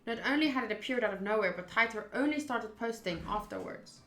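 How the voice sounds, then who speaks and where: A woman's voice: a little high, lively intonation, fairly quick, fairly bright tone. A woman streaming a visual novel, reading its narration aloud with casual reactions. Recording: roomy, echoing voice track. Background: game music.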